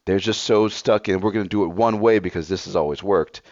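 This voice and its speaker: A man with a deep, low voice, a bit scratchy, talks in a laid-back and blunt way, swearing now and then. The sound is dull and lo-fi, recorded dry with no room echo. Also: brisk, darkish tone. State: slightly scratchy voice from illness. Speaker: podcast co-host. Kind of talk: casual chat.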